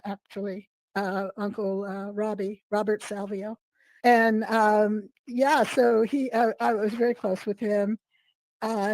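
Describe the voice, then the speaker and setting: A middle-aged woman, hoarse from a cold, talking in a rambling way with many fillers as a guest on a video call. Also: mid-range voice, a little mellow, no echo, poor audio. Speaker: guest artist. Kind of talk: casual interview chat.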